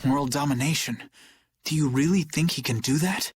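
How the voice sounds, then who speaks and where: An actor playing an earnest, dutiful young knight captain with a sincere, measured manner in a video game. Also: male voice, on the high side, slightly rough.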